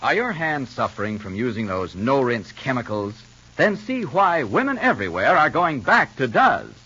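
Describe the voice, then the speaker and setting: An American man working as a radio announcer, reading vintage soap advertising in a slightly raspy voice. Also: medium pitch, sing-song, normal speed, bright, forceful tone. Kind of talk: 1950s radio advertising read. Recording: dull vintage radio recording.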